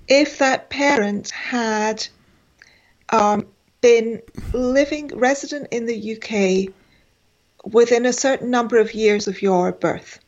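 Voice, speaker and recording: A woman in her forties talks as an interview guest, pausing on fillers and restarting her sentences. Her voice sits a little high. The audio is dull and lo-fi. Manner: hesitant, many fillers and restarts. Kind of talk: conversational interview.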